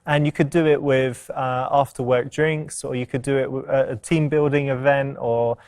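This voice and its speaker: A man's voice: mid-range voice, flat delivery, moderate pace, a little mellow. A man giving casual advice commentary in a conversational, explanatory way. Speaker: dating-advice host who also plays the lead. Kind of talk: casual advice commentary with acted office scenes.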